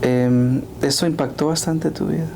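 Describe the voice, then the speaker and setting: Soft-spoken Salvadoran man hosting a podcast. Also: on the high side, steady tone, moderate pace, vocal fry, noisy background. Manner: frequent fillers, affirming interjections. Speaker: podcast host. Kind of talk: conversational interview.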